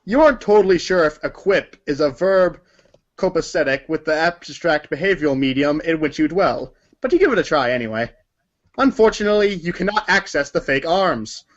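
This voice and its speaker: A man's voice: fairly high pitch, lively intonation, fairly quick. A man reading scripted narration for a read-along story performance, in a dull recording.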